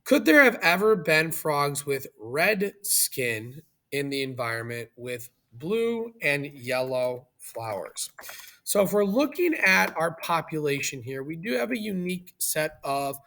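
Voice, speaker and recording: Gravelly-voiced male teacher explaining a lesson. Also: fairly high pitch, very animated, measured pace, sharp tone. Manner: instructional, checks understanding with questions. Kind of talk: explanatory video lesson narration.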